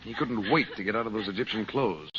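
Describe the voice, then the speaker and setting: An actor playing a commanding, earnest heroic uncle in an old radio serial. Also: male voice, lowish voice, expressive, fast talker, natural voice. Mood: grave, explaining. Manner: firm, take-charge, earnest. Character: brisk, commanding heroic uncle, magician adventurer. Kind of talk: 1930s-40s radio serial acting. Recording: muffled old radio recording, faint hiss.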